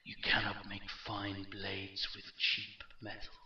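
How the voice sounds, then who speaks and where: A man performs a cruel undead warlord from a fantasy audiobook, mocking and commanding. The voice is slow and hard-edged, with a forceful bright bite. It is recorded a little dull. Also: on the low side, completely flat delivery, reverberant, recorded from a distance, heavily acted. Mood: cold, haughty. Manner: slow, mocking, rising to shouted intensity. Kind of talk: fantasy audiobook character dialogue.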